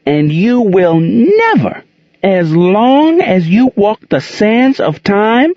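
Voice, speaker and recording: A man voicing a stern older aunt who scolds slowly and explosively and turns poetic when angry. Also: lowish voice, very animated, slightly rough, dry recording. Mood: furious. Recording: dull lo-fi sound.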